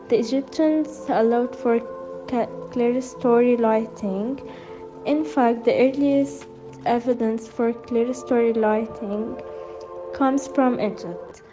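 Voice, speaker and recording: A woman's voice: on the high side, warm tone, low-quality recording. A woman reading scripted documentary narration, sometimes stumbling and repeating words as she reads.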